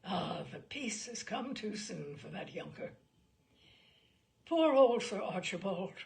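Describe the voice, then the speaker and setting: Lady doing a hearty old admiral guy from a storybook, rambly and blustery, creaky voice, swoops about a lot. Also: mid-range voice, big pitch swings, slow pace, darkish tone, full character voice. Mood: bluff, chatty. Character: bluff, hearty, chatty older male navy admiral. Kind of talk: audiobook character dialogue.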